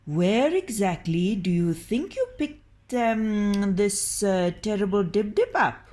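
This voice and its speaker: A woman's voice: mid-range voice, lively intonation, slow pace, darkish tone. Woman reading a grave, hesitant doctor.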